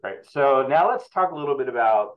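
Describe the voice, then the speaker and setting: A man hosting a webinar interview as a research analyst, speaking haltingly with many fillers. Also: medium pitch, animated. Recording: tinny phone-like line.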